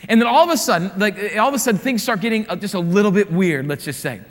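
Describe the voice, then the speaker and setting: High-voiced pastor in a ringing hall. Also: male voice, lively intonation, quick pace. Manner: conversational, repeats phrases for emphasis. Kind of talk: sermon.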